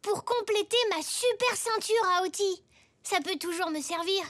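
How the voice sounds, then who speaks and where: A performer voicing an eager little boy zebra playing superhero in a children's cartoon, earnest and expressive. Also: very high voice, normal speed. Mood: curious. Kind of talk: French children's cartoon dubbing.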